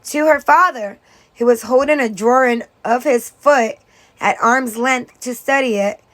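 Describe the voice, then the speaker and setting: A woman teacher reading a children's story aloud in a deliberate, expressive storytelling manner. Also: a little high.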